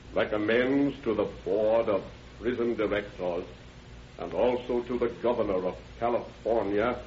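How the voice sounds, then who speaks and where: An actor playing a stern American judge in a 1930s radio drama, grave, formal and deliberate. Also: male voice, mid-range voice, a little hiss or hum, close to an everyday voice. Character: stern male judge pronouncing a harsh sentence. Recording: muffled 1930s radio recording.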